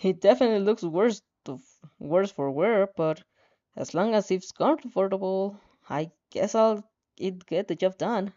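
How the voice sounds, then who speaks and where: A woman's voice: mid-range voice, measured pace, dark tone, muffled recording. A woman reading a story aloud, often stumbling and repeating words as she narrates. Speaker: fan fiction narrator.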